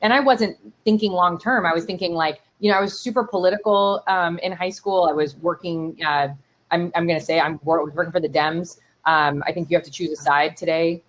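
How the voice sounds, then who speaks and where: A woman in her thirties from Miami whose first language is Spanish, telling her story in a casual interview with lots of fillers and animated asides. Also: lowish voice, fairly quick, rough recording. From Miami, Florida. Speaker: startup CEO and keynote guest. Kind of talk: conversational interview.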